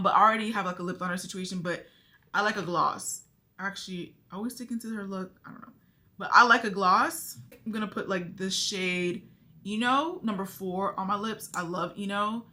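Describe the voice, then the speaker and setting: Young woman beauty vlogger, bright clear voice, rambly and chatty, talks to y'all. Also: medium pitch. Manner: chatty, thinks aloud, addresses viewers as y'all. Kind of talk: casual makeup tutorial chat.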